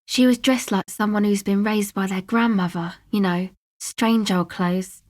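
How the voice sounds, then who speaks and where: A woman's voice: mid-range voice, steady tone, measured pace, no echo, close to an everyday voice. Woman playing a laid-back, guarded young club girl, dry sound. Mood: matter-of-fact. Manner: offhand, slangy, hesitant asides. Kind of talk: casual video game dialogue.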